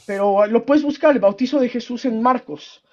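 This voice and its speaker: A man's voice: very high-pitched, normal speed. Mexican man arguing over a dull line. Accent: Mexican Spanish. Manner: interrupts, repeats words, patronizing "mi estimado". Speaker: debater claiming to be a historian. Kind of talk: heated debate on a call.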